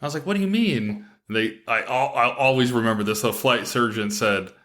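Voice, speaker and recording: Bright-voiced man chatting casually. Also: medium pitch, brisk. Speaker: podcast host, former military air traffic controller. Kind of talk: casual interview chat with anecdotes.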